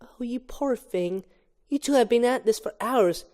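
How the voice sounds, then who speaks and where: A man voicing a placating young woman florist from a cartoon, gently reasoning and a little hesitant. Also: extremely high pitch, unhurried, pitched above the performer's own voice. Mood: sympathetic.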